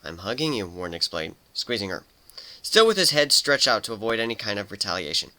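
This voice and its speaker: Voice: mid-range voice, very animated, fairly quick, pushed and bright. A narrator reading a scripted story in a dramatic, storytelling style. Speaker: fan fiction narrator.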